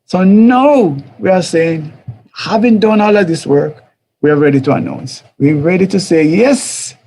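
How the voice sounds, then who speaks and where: A man with a Jamaican accent teaches a church group in a loose, conversational way, repeating key words and slipping into little quoted voices. His voice sits a bit high and has a gravelly edge. A faint hum of room ambience hangs behind him. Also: measured pace, darkish tone. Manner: conversational, repetitive, chuckles, acts out quoted voices. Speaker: teacher of a church life series. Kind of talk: informal teaching talk.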